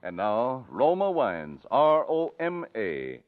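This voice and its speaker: Vintage radio ad man, measured savoring read, lots of pitch swing, really tinny old recording. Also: wildly swooping pitch. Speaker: radio sponsor announcer. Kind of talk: 1940s scripted advertising read.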